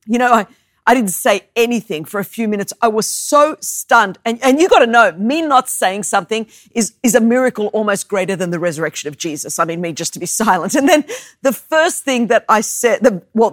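A woman in her thirties from Australia, whose first language is Greek, preaching a sermon and telling a personal story with animated, self-mocking humor. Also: on the high side, normal speed, no echo, studio quality. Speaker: guest preacher.

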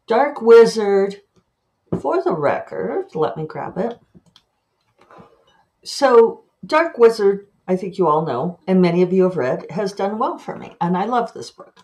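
A woman hosting her own podcast, chatting casually and animatedly with quick asides and self-mocking laughs. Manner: lively pitch jumps when acting out her own thoughts. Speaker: podcast host and author. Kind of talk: casual monologue.

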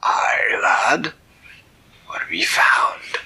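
A man voicing a gruff old male sea captain who talks in hearty sailor's dialect, full of "aye" and "lad". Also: drawn-out words, pushed and bright, in a whisper, raised voice, full character voice. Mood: gruff, wary.

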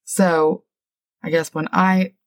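A middle-aged woman with a low, deep voice talks through a Bible word study at an easy pace. She lingers on definitions as she explains them. Her voice sounds close and dry, with no room echo. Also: flat delivery. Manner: warm, explanatory, reads definitions slowly. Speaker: podcast co-host, Bible teacher.

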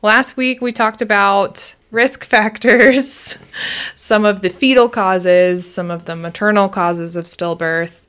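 A woman's voice: mid-range voice, steady tone, slow pace, a bit bright, clear, resonant tone. A woman co-hosting a podcast in casual, informal conversation with an easy bantering way of talking. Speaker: podcast co-host.

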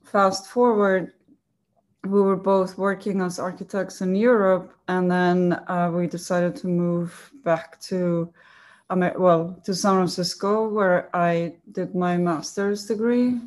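Lower-voiced woman from Iceland with an Icelandic accent. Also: slow. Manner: relaxed storytelling with fillers and self-corrections. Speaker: design agency co-founder. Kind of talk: conversational interview answers.

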